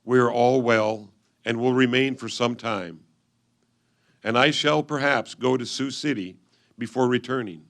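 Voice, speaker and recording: Gravelly-voiced man reading historical letters. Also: mid-range voice, steady tone, measured pace, fairly bright tone. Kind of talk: reader's theatre reading of old letters.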